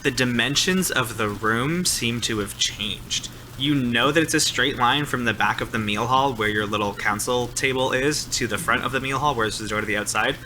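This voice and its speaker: A man's voice: medium pitch, normal speed, hardly any pauses, bright, forceful tone, a little hiss or hum. A man casually improvising narration in a tabletop roleplaying game.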